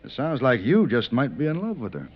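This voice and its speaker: An actor playing a cool, mature American hired gun in a vintage radio western, measured, dry and teasing. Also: male voice, medium pitch, huge pitch swings. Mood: dry, needling. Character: cool, wry hired gunman, a mature man. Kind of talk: 1950s radio western acting and narration. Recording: dull vintage radio recording.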